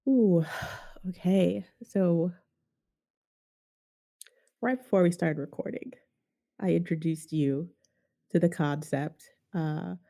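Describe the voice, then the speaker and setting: A congested woman with a nasal voice, pitched a little low and gravelly at the edges. She hosts a podcast in rambling, wry chat. Her tone is dark and mellow. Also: slow pace, long pauses. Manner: wry, rambling, laughs often. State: nasal from a sinus infection. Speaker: podcast host.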